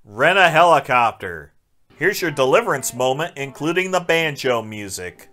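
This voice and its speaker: A man's voice: medium pitch, sing-song, normal speed, bright, forceful tone, soft background music. A man narrating a sarcastic scripted film review in an irreverent, wisecracking, profane manner. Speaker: movie reviewer.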